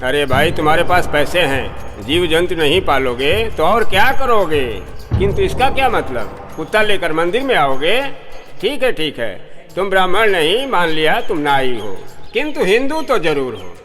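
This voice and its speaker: High-voiced, pompous cartoon temple priest. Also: male voice, very animated, normal speed. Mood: sarcastic scolding. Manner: scolding, sarcastic, pompous. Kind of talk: Hindi children's cartoon dubbing. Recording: music behind the voice.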